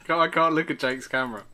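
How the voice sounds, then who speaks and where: A man's voice: medium pitch, sharp tone. A man with an English accent hosting a podcast in casual, joking banter. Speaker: podcast host.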